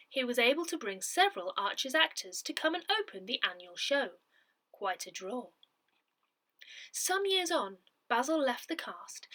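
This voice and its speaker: A woman's voice: fairly high pitch, bright, forceful tone. A woman reading a newsletter aloud as scripted narration. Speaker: newsletter reader.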